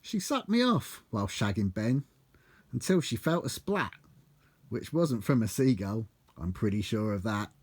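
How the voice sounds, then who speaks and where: British man with very lively pitch. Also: husky. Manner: bawdy storytelling with measured rhyming cadence. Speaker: author reading own book. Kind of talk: comic rhyming verse narration.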